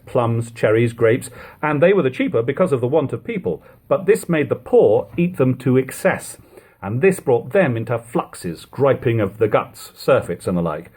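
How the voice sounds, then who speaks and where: Male audiobook reader, husky tone, animated melodic phrasing, homespun lo-fi sound. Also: mid-range voice. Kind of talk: scripted literary narration, reading aloud. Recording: lo-fi home recording.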